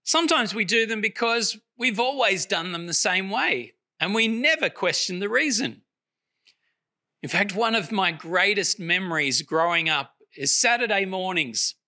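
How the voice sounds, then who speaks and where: High, very bright male preacher. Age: middle-aged. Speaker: chaplain, preacher. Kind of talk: conversational sermon. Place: indoor chapel at a lectern. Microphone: lectern microphone.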